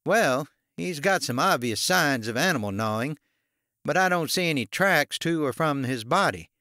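A man voicing a savvy paramedic in his late fifties, brisk, matter-of-fact and wry, in an audiobook. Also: a little high, expressive, pushed and bright, dry recording, clean studio sound, voice pushed up. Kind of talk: audiobook character dialogue.

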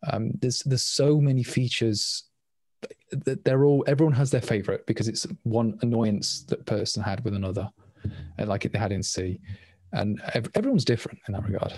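A man, a programming language designer, answers questions on a video call in a quick, rambling way with restarts. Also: on the low side, a little mellow, dry recording. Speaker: language designer presenting at a meetup. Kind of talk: casual Q&A answers. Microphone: webcam on a video call.

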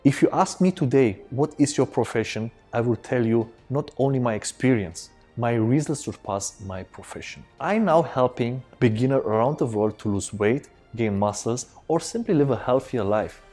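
Energetic German-native man coaching on camera. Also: mid-range voice, normal speed, few pauses, soft background music. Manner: energetic, gestures a lot with both hands. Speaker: fitness coach. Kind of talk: direct-to-camera course introduction. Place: large indoor lounge room. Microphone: clip-on lapel mic.

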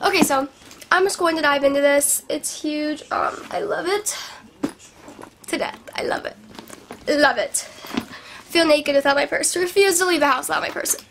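Sniffly, chatty young woman, high voice. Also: normal speed, vocal fry, pauses often, background noise. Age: young adult. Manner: bubbly, rambling, lots of "like". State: sick and sniffly. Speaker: beauty vlogger. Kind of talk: casual chatty vlog. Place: small bedroom indoors. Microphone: camera in front of her at arm's length.

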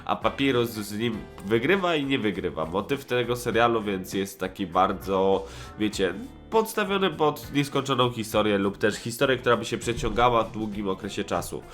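Low-voiced young man over music. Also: lively intonation, normal speed, a bit bright. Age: 20s. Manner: hesitant, self-correcting, slangy. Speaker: host of a nostalgic cartoon review show. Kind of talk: casual rambling review commentary. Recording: music playing behind the voice.

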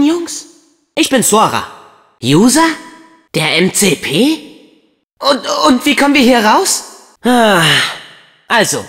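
Teenage boy hero for a game dub: earnest, plucky, very expressive pitch, easy pace. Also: big-room sound, exaggerated voice. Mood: curious, plucky. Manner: eager, expressive, youthful delivery. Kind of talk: German video game dub acting.

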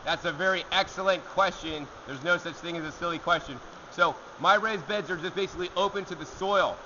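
A young man hosting a gardening show, answering viewer questions to camera outdoors in a rock alcove, energetic and gesturing as he talks. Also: high voice, fairly quick, muffled recording, background noise. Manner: energetic, talks with his hands.

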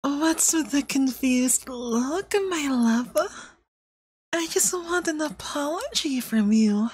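A woman voicing a possessive, manipulative young femboy yandere boyfriend in ASMR roleplay, soft, breathy and cloying, coaxing with veiled menace. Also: high-pitched, takes it slowly, no room sound. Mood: menacing, demanding.

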